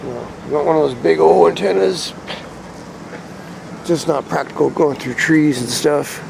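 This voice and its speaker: Casual male vlogger, slightly high-pitched with a creaky edge, rambling explainer delivery, outdoor ambient noise. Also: normal speed. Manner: laid-back, conversational, explanatory. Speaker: vlogger showing a DIY radio antenna install. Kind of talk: casual rambling vlog talk to camera. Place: outdoors beside his truck.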